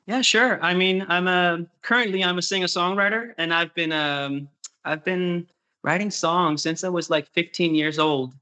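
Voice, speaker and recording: A man's voice: high voice, normal speed, bright, forceful tone. A young Danish man with a Danish accent, chatting loosely as an interview guest, full of fillers and restarts. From Denmark. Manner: rambling, hesitant, frequent fillers and laughs. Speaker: guest singer-songwriter. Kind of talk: casual interview chat.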